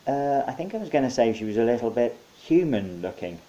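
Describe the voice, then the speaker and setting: A man's voice: medium pitch, big pitch swings, fairly quick, mellow, voice pushed up, lightly acted. A man voicing a baffled, blunt and sarcastic young everyman in a story. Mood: hesitant. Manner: blunt, sardonic, hesitant when puzzled.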